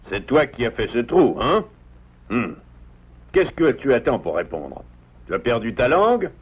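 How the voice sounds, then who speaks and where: A man's voice: medium pitch, unhurried, slightly rough, lo-fi sound. An actor playing a stern, worried father beaver with an exasperated manner in a French cartoon dub. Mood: scolding. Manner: scolding, expressive, exasperated.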